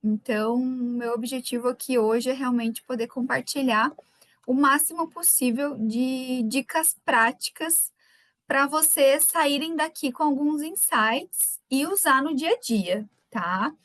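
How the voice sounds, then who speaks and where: A woman's voice: sits high, slow, dry recording. Chatty woman with a light Gaúcho accent. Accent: light Gaúcho Brazilian Portuguese. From Rio Grande do Sul, Brazil. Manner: chatty, warm greetings to viewers by name. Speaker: webinar speaker. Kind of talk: live webinar talk.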